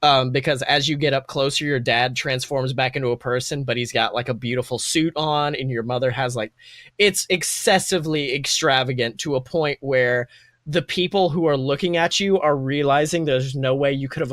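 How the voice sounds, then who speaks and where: A man's voice: medium pitch, moderate pace, fairly bright tone, no echo, clean studio sound. A man improvising narration as game master in a tabletop roleplaying game, in an informal, meandering, slangy storytelling way.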